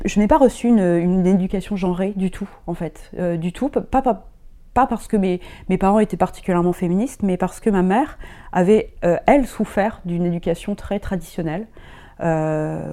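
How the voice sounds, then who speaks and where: A woman's voice: fairly low pitch, dark tone. A middle-aged woman who grew up in Germany, talking as an interview guest in a hesitant way, with many restarts and fillers. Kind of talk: casual interview talk.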